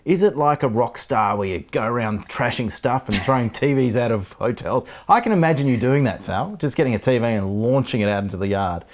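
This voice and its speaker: A man co-hosting a relaxed chat show, quick to crack jokes and laugh along. His voice swoops and lifts with lively intonation as he teases his guest. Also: medium pitch, slight background noise. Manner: jokey and upbeat, laughs often. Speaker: co-host. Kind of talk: casual chat.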